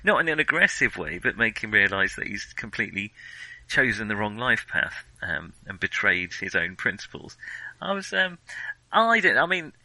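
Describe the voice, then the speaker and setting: A man co-hosting a film podcast, talking casually in an excitable, halting way as his thoughts tumble out. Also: lowish voice, dry recording, poor audio.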